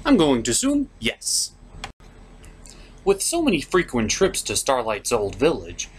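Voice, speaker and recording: A man's voice: fairly high pitch, a bit bright. A man hosting a jokey, scripted cartoon recap in a theatrical, sarcastic way. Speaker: host of a cartoon episode review.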